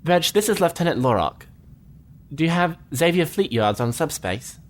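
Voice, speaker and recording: A man playing a starship lieutenant, earnest, polite and measured. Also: a little high, big pitch swings. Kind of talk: fan audio drama acting.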